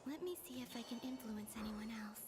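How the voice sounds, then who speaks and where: Actress as ethereal young woman, fairly high voice, hushed wondering, fantasy game, music behind. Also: fairly quick, natural voice. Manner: musing aloud to herself in hushed, breathy wondering. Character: soft-spoken, puzzled, ethereal young woman in a fantasy world. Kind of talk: video game voice acting.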